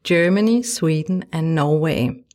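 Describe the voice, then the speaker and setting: A woman from Denmark with a Danish accent, hosting her own podcast from a small home studio in a gentle, encouraging way. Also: deep voice, measured pace. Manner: gentle, encouraging, laughs lightly now and then. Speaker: podcast host and life coach. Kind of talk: solo podcast monologue. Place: small home studio lined with sound panels.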